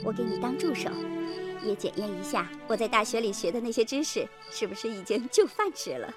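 High-voiced, fussing, caring wife. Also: female voice, sing-song, brisk, music in the background. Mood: tender. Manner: fond, fussing. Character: caring, gently scolding wife of an engineer. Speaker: radio drama actor. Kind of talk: Chinese radio drama acting.

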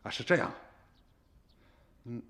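A man playing a composed police investigator in a radio drama, talking in a measured, formal, insistent way. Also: fairly bright tone. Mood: firm.

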